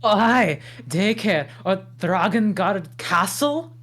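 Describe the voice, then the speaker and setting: Woman playing an awkward male ogre, slow-paced. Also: medium pitch, lively intonation, a bit bright. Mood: sarcastic. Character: awkward, blunt, good-natured male ogre. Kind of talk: comedic table read of animated film script.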